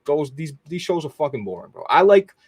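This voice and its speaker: A man's voice: fairly high pitch, sing-song, a little fast, slightly rough, no echo, clean studio sound. A young adult man hosting a casual gaming podcast, rambling and profane, stressing his points. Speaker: podcast host.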